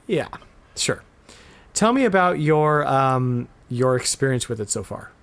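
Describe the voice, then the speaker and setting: A man hosting a casual video game podcast, talking with animated, exasperated emphasis. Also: medium pitch, slow pace, clear, resonant tone. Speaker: podcast host.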